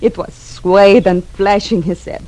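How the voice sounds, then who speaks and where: An actress playing a worried, courteous Spanish mother in her sixties, speaking halting, formal English with a Spanish accent in an old radio drama. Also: medium pitch, steady tone, darkish tone, natural voice. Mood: polite, worried. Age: 60s. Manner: halting, formal, searching for words. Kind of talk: 1940s radio drama acting. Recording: old radio broadcast recording.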